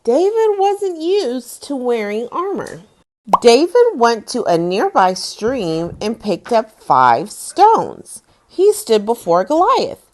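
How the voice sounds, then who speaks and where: A woman in her thirties hosting bedtime stories for children, with exaggerated, deliberate phrasing as she reads to young listeners. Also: fairly high pitch, sing-song, a little mellow. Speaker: host of a children's bedtime story series. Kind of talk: children's read-aloud, storytelling to young listeners. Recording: music behind the voice. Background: music.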